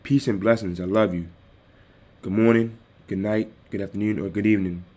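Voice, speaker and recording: Calm, low-voiced man hosting a podcast. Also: fairly quick. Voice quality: calm, soothing voice. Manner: easygoing, addresses listeners directly. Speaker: podcast host. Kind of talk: casual solo podcast talk. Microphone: desktop USB microphone.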